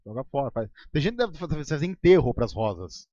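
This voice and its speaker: Man, Brazilian accent, pastor's sermon, high voice, swooping pitch, dry slightly dull recording. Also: big pitch swings, fairly quick, mellow, no room sound. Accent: Brazilian Portuguese. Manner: conversational preaching, with sarcastic asides and ironic voices. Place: church hall.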